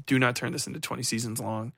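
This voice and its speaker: A man's voice: mid-range voice, level tone, fast talker, vocal fry, fairly bright tone, husky, dry recording, studio quality. A man hosting a solo podcast, giving casual review commentary in a rambling, think-aloud way with some casual swearing. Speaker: podcast host.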